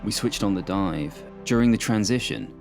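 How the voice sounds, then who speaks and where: A man voicing a shaken, weary male detective with a subdued, husky delivery, in an audiobook. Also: lowish voice, lively intonation, normal speed, a little mellow, faint music behind.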